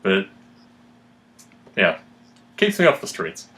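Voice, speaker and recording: A man talking reflectively in conversation, measured, analytical and articulate. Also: sits low, wildly swooping pitch, sharp tone. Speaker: podcast host.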